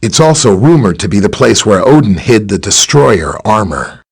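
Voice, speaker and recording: A man's voice: fairly low pitch, sing-song, normal speed, creaky, husky, heavily acted. A man playing a friendly, easygoing superhero scientist in a video game, explaining things in a casual, conversational way. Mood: explanatory. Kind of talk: video game character dialogue, briefing and greeting.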